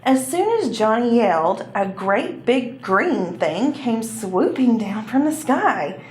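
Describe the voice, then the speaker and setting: A woman's voice: fairly high pitch, big pitch swings, slow, a little hiss or hum. A woman narrating a children's puppet show in a storytelling style. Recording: roomy echo with music behind.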